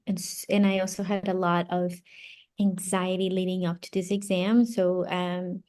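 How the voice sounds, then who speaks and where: Brazilian woman, halting interview guest. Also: on the low side, flat delivery, a little mellow. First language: Portuguese. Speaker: interview guest, psychology doctoral graduate. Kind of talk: casual interview answers.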